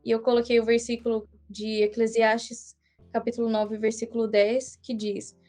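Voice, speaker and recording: Earnest young woman, slightly high voice. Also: level tone, warm tone, soft background music. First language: English. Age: young adult. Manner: earnest, measured storytelling with small restarts. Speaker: guest missionary. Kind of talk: casual podcast interview.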